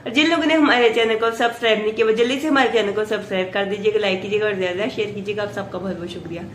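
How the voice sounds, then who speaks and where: A woman from Pakistan with a Pakistani Urdu accent, vlogging her daily routine at home, chatting softly with a hoarse voice from a sore throat. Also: mid-range voice, very fast, slight background noise. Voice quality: soft, chatty, full of blessings and "ji".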